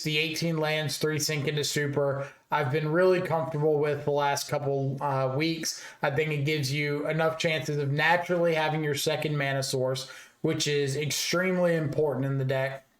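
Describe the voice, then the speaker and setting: A man with a slightly raspy voice talks through card game strategy in a relaxed, casual way, thinking aloud with fillers as he goes. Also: fairly high pitch, echoey room. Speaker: card game content creator.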